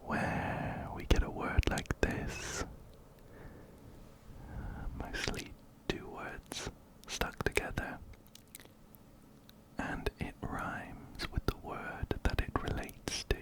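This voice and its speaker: A man whispering gently and breathily in an ASMR game playthrough, up close to a binaural microphone, unhurried and softly repeating words. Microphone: close-up binaural microphone, ear to ear.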